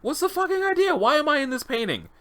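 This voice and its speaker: A man's voice: a little high, sing-song, normal speed, sharp tone, pitched above the performer's own voice. A man voicing an agitated male convict test subject unraveling into madness, bewildered and stammering, in a dramatized interview log. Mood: panicked, bewildered.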